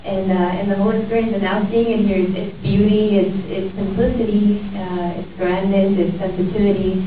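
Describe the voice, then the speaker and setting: A woman from Puerto Rico, an actress on a festival panel, answering excitedly and haltingly with bursts of laughter. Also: mid-range voice, a little hiss or hum. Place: large hall with an audience. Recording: far-off echoey hall, dull audience recording.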